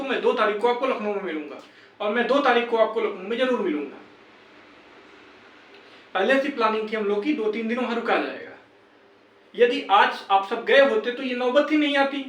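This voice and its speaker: Young male campaigner, high voice, urging peers insistently with a reproachful edge; recorded echoey and off-mic. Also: a bit bright. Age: young adult. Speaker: exam candidate and campaign organiser. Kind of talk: motivational appeal to fellow candidates. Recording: echoey room, distant microphone.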